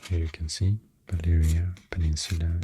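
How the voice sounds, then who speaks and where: A man with a Swedish accent speaking in a soft, breathy near-whisper, rambling in an unhurried, hesitant ASMR explanation. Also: deep voice, level tone, a little hiss or hum. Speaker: ASMR creator. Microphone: close-up ASMR microphone.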